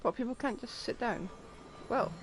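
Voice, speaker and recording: A woman's voice: fairly high pitch, moderate pace, heavy background noise. A woman livestreamer giving casual, chatty game commentary with dry quips. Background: game music.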